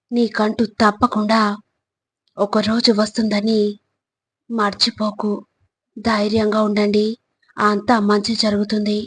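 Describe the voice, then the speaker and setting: A woman reading scripted motivational quotes in short, deliberate phrases, with an instructive, exhorting manner. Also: medium pitch, slightly rough. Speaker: motivational narrator.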